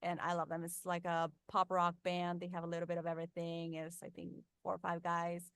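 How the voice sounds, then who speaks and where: Mexican lady chatting on a podcast, smooth clear voice, dry close sound, friendly. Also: lowish voice, completely flat delivery, a little fast, fairly bright tone, dry recording. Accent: Mexican Spanish. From northern Mexico. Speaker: voice actress, guest. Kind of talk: casual interview chat.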